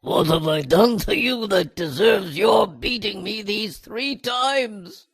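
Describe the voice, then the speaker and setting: Man voicing a high, bright, plaintive donkey. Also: slow. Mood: hurt, reproachful. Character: mistreated, loyal talking donkey, plaintive. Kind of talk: dramatized scripture audio acting.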